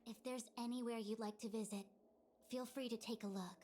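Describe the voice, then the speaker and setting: A woman playing a composed, dutiful master diviner in a video game, speaking in a formal, measured and precise way. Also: on the high side, steady tone, darkish tone, slight background noise, close to an everyday voice. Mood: polite, brisk. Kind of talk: scripted video game dialogue.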